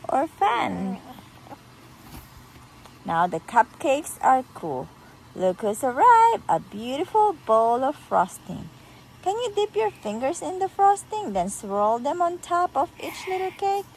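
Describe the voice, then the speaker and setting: Woman, storytime read-aloud, slow pace, lively swooping intonation, encouraging, slight background noise. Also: high voice, gravelly, low-quality recording. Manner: sing-song, animated, encouraging. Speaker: mother reading to a toddler. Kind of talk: children's picture-book read-aloud.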